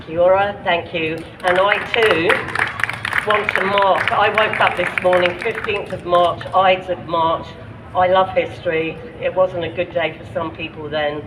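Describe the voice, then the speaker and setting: Slow, impassioned London-accented man. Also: high voice, fairly even intonation, a loud crowd, heavy background noise. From Ireland. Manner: impassioned, deliberate, with pointed rhetorical questions. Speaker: poet at a public gathering. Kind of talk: spoken-word poetry reading.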